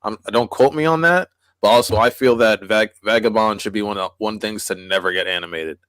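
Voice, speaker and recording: A man's voice: fairly low pitch, brisk, a bit bright, dry recording. Enthusiastic man rambling about stories. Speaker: podcast co-host. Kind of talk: casual chat.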